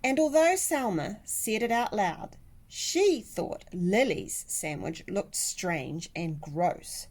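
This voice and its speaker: A woman reading a children's picture book aloud like a teacher, with deliberate, expressive emphasis. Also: mid-range voice. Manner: animated, deliberate, with dramatic emphasis.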